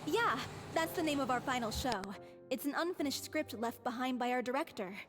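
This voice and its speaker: A woman's voice: high-pitched, a little fast, natural voice. A woman playing an earnest, wistful young theatre troupe member in a video game, explaining sincerely. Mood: wistful, hopeful. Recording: long reverb, music underneath.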